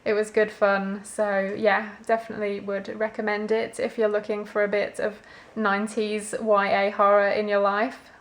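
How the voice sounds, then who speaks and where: Woman vlogger chatting in an even tone. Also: medium pitch, moderate pace, few pauses. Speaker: book vlogger. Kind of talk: casual chatty vlog about books.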